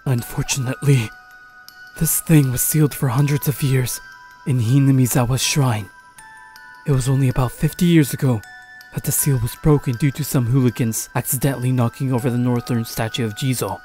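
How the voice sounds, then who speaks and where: A stern old village grandfather, played by a man in a horror audio drama. His voice is mellow and mid-pitched, with solemn, deliberate delivery over faint music. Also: fairly even intonation, natural voice. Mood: grave.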